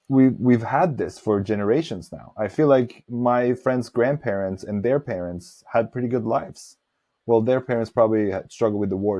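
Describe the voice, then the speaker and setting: A man's voice: mid-range voice, warm tone. A man who grew up in Norway, talking reflectively and giving his opinions as host of a casual interview.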